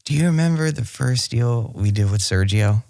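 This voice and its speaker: A man's voice: mid-range voice, lively intonation, moderate pace, creaky, darkish tone, no echo, clean studio sound. A man co-hosting a podcast in casual chat, agreeable and full of "yeah" and "like". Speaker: podcast co-host.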